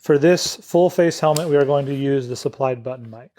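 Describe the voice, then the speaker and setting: A man's voice: mid-range voice, brisk, dark tone. A man narrating a step-by-step product installation tutorial as a demonstrator.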